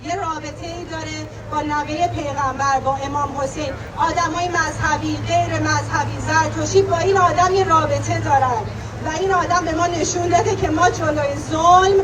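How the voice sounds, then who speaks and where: A woman's voice: high voice, steady tone, very fast, recorded from a distance. A young woman giving an impassioned, emphatic protest speech outdoors, repeating phrases for emphasis. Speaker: rally speaker. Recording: crowded noisy outdoor rally, loud street noise. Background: crowd and vehicle noise.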